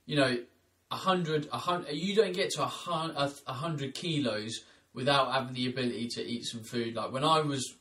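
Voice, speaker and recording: A blunt man hosting a podcast in loose, chatty talk. His voice sits at an ordinary pitch for a man. He sounds a little far from the mic. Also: fairly bright tone. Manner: blunt, chatty, says mate. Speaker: podcast host. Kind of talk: casual podcast chat.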